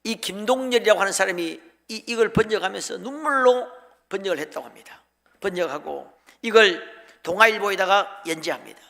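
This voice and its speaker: A man's voice: high voice, a little fast, creaky, sharp tone. A man preaching a sermon as a pastor, telling a story in an emotive, emphatic way and breaking off phrases for weight. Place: large church sanctuary during a worship service. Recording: long hall reverb.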